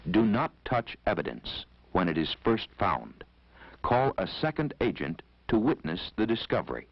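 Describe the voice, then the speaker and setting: Deep-voiced American training film narrator, male. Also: very animated, gravelly, dry recording. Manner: formal, measured, authoritative. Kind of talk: scripted instructional narration. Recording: dull vintage 1970s film soundtrack.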